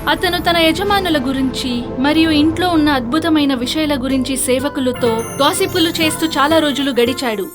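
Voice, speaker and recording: A woman tells a children's fairy tale as a scripted narrator. Her voice is notably high-pitched, with music playing behind it. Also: normal speed.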